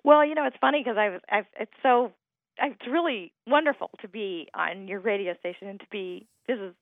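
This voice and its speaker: A woman from Hawaii talks as a guest over a tinny phone line, her voice gravelly with fry. She speaks thoughtfully and articulately, sometimes restarting a phrase. The sound is dry and close, with no room around it. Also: mid-range voice, moderate pace, no room sound. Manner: articulate, thoughtful, with repeated starts. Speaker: writer and guest. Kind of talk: reflective radio conversation.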